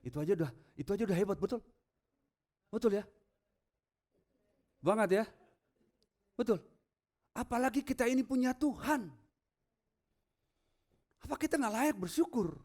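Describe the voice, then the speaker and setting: Male pastor, high voice, emphatic sermon delivery that moves between quiet asides and loud proclamation. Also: lively intonation, moderate pace, pauses often. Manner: rhetorical questions to the congregation. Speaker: pastor preaching. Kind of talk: sermon with scripture readings.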